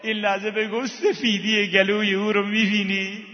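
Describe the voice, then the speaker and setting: A man telling a sacred tragedy as a religious eulogist, with a plaintive, impassioned and drawn-out dramatic delivery. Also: high-pitched, little pitch movement. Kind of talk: mournful religious storytelling with chanted lines. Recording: muffled, long hall reverb.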